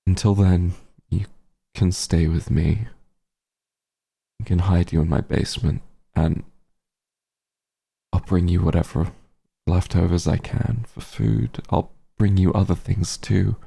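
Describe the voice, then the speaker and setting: A man as a gravelly, low-voiced tender monster hunter. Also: fairly even intonation, exaggerated voice. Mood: worried, determined. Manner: halting, emotional, gentle. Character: werewolf hunter, tender and remorseful, reunited with lost friend. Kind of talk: roleplay audio drama, intimate monologue to listener.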